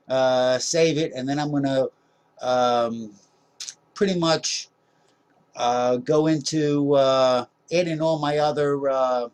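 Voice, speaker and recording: Rambling man talking through a tutorial. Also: mid-range voice. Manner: rambling, many "uh" fillers, self-deprecating jokes. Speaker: tutorial host. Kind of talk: casual screen-recorded software tutorial.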